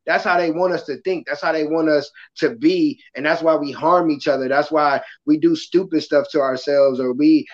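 A passionate, loud-voiced man hosting a casual podcast talk, acting out quotes as he goes. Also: on the high side, highs cut off. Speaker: podcast host; kindergarten school worker.

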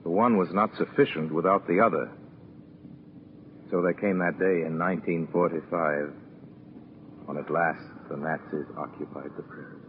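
Male actor as grave wartime narrator, very low voice, oratorical, tinny vintage radio sound. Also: fairly even intonation, moderate pace, long pauses, natural voice. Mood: grave, foreboding. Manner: solemn, rhetorical. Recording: tinny, noisy 1940s radio recording.

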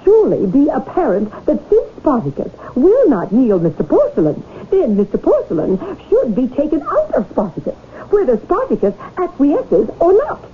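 A woman's voice: high-pitched, huge pitch swings, normal speed, hardly any pauses, slight background noise. An actress playing a persistent wife arguing to rescue her husband in an old radio drama. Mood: insistent. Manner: persistent, argumentative. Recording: tinny lo-fi radio broadcast.

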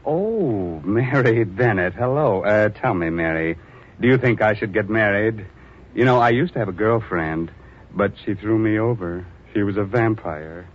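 An actor with an American accent playing a droll, mock-sinister, crotchety old bachelor who hosts a 1940s radio horror show. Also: male voice, fairly low pitch, lively intonation, a little slow, breathy edge. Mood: teasing, wry. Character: macabre, crotchety old bachelor horror host, wry joker. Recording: muffled old radio recording with music.

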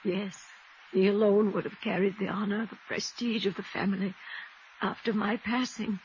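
Woman acting a creaky, genteel older society lady. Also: medium pitch, little pitch movement, darkish tone, natural voice. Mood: earnest, fragile. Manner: genteel, halting, emotional. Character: genteel grieving older society widow, earnest and fluttery. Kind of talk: 1950s radio drama acting. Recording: muffled, noisy vintage radio recording.

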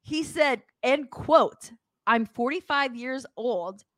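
A woman with a fairly high voice co-hosts a sports podcast. She talks casually and emphatically about the game, repeating points for effect. The sound is close and dry. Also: lively intonation, slow, fairly bright tone, dry recording.

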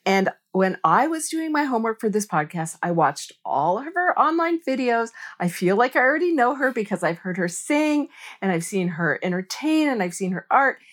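A woman's voice: a little high, very animated, normal speed, a bit bright. A middle-aged woman from the United States hosting a podcast interview, chatty and enthusiastic. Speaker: podcast host. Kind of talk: casual interview chat.

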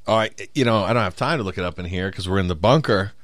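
A man's voice: deep voice, quick pace, fairly bright tone, no room sound. A man co-hosting a podcast in casual, joking banter, often trailing off mid-thought. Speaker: podcast co-host. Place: small studio room.

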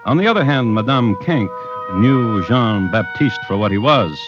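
Wry American radio narrator, man, lively intonation. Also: lowish voice, moderate pace, over music. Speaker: host and narrator. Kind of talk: 1950s radio scripted crime narration. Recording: muffled vintage radio recording. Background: prominent musical accompaniment.

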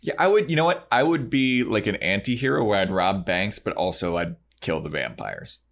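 A man's voice: fairly low pitch, lively intonation, moderate pace, gravelly. A man hosting a podcast, chatting casually and informally about a TV show. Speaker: podcast host.

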